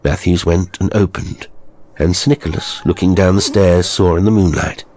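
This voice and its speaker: A man narrating a horror story in a measured, grave, literary storytelling style. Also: sits low, steady tone, darkish tone, slight background noise. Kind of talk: scripted dramatic storytelling narration.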